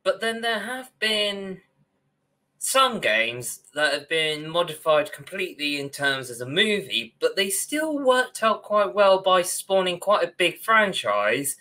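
Young male podcast co-host, slightly high and sharp-toned, opinionated, emphatic, mildly sweary. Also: sing-song, unhurried. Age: young adult. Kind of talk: casual opinionated discussion. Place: indoor room, video call.

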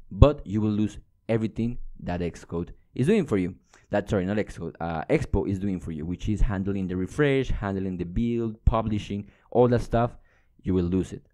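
A man's voice: low voice, dark tone. A young adult man giving an informal, explanatory coding tutorial as an instructor, speaking casually into a close microphone. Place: indoors at a computer.

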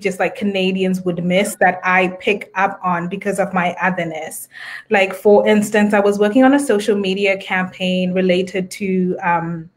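A woman from Zimbabwe with a Zimbabwean accent, speaking as a guest in a casual video-call interview, thoughtful with fillers and restarts. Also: mid-range voice. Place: indoor room at home.

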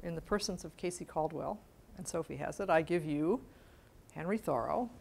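Lower-voiced middle-aged woman giving a lecture. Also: moderate pace, creaky, darkish tone, a little hiss or hum. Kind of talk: prepared academic talk to an audience. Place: small library meeting room with audience. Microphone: lapel.

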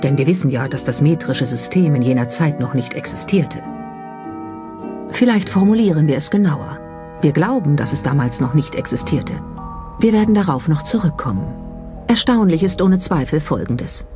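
Documentary narrator, medium pitch, scripted delivery over prominent music. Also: expressive, normal speed. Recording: music behind the voice. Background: background music.

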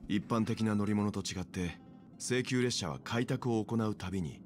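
An actor playing a mature, thoughtful male mentor in an anime game, explaining things in a measured manner. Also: fairly low pitch, darkish tone, faint music behind, natural voice. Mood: serious, explaining.

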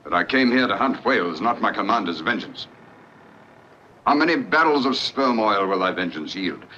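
An actor playing a principled, steadfast middle-aged first mate on a whaler, formal and earnest, in 1950s film style. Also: male voice, fairly low pitch, expressive, moderate pace, pushed and bright. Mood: grave, defiant. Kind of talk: 1950s film drama acting. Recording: dull, noisy 1950s film soundtrack.

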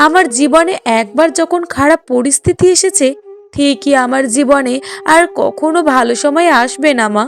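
Woman voicing young cartoon widow, high creaky voice, sorrowful, resigned, plaintive, faint music behind. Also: moderate pace. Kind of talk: cartoon drama voice acting.

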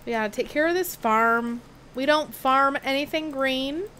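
A woman's voice: high voice, a bit bright, a little hiss or hum. Woman in a put-on Southern drawl. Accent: put-on Southern American drawl. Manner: playful, drawn-out words, swears casually. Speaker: streamer. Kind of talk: casual gameplay livestream chat.

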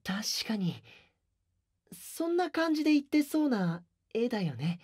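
A male actor voicing a calm, mild-mannered young male idol who speaks softly with dry amusement, recorded on a binaural mic. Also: very high-pitched, husky, heavily acted. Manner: soft, unhurried, dryly amused. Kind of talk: anime voice drama.

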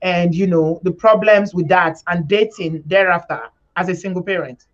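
A Nigerian woman hosting a chatty live stream, lively and teasing, repeating her questions to press her guest. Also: lowish voice, normal speed. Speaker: host. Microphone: webcam video call. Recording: muffled lo-fi video call.

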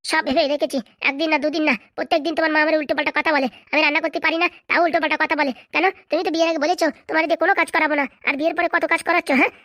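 A woman's voice: high voice, little pitch movement, racing, hardly any pauses, sharp tone, no room sound, clean studio sound. A woman playing an aggrieved, stubborn young wife, argumentative and emphatic, in a comic cartoon. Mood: angry, accusing. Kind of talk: comic cartoon drama dialogue.

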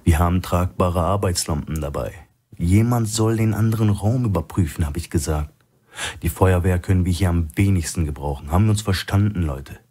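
A menacing bank robber boss, acted by a male audiobook reader. The voice is low and husky. He hands out curt threats and commands in a crime thriller. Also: fairly quick, warm tone. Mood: commanding.

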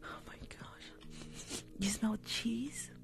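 A woman's voice: fairly high pitch, very slow, over music. Young woman whispering ASMR roleplay. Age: young adult. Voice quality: breathy whisper. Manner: playful, chatty, repeats words. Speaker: ASMR performer playing a doctor. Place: small room at home. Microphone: large desk microphone, close.

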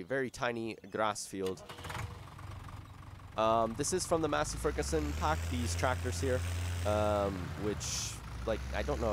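A young man with a hoarse, fading voice chats through a gameplay session at his desk microphone. His pitch sits in the middle, and he rambles with frequent ums and restarts. A faint hum of vehicle noise runs underneath. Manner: rambling, full of fillers and restarts. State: hoarse, losing his voice from a sore throat. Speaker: gaming YouTuber. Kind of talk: casual gameplay commentary. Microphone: desktop microphone.

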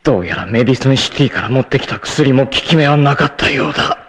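A man's voice: medium pitch, animated, quick pace, fairly bright tone, slightly rough. A man voicing a proud, stoic young male martial artist who is dying, in 1980s TV anime style, speaking in grave, solemn declarations with sudden anguished outbursts. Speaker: voice actor. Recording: old TV anime audio with hiss.

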